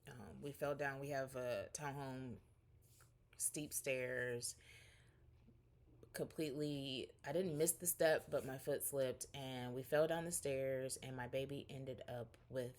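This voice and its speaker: A woman's voice: rumbling low, steady tone, takes it slowly, darkish tone. A woman in her forties recording a casual, rambling catch-up vlog at home, talking candidly to her viewers. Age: 40s. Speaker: vlogger.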